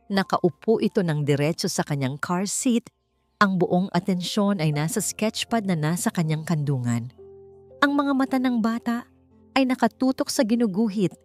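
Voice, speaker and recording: A woman narrating a scripted audiobook love story in a measured, expressive storytelling manner. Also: mid-range voice, no echo.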